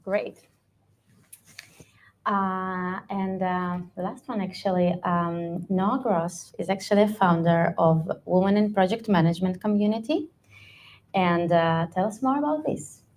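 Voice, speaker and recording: A woman moderating a meetup, speaking in slow, brief prompts. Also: on the low side, a little mellow, slight background noise. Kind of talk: moderating a panel. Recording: voice from off the mic.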